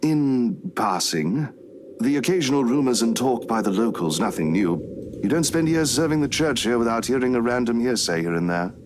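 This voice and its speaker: An actor playing a courteous, youthful priest in a visual novel, speaking formally and gently preaching. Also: male voice, medium pitch, lively intonation, moderate pace, noisy background, natural voice. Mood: genial. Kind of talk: scripted visual novel voice acting.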